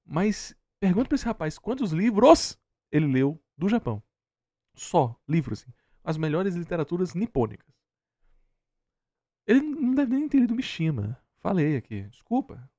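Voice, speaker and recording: A man's voice: on the high side, big pitch swings, normal speed, mellow, no room sound, studio quality. A young Brazilian man giving casual, opinionated reaction commentary, argumentative and exasperated, hammering points home by repeating them. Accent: Brazilian Portuguese. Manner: exasperated, argumentative, emphatic repetition. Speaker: streamer reacting to a video.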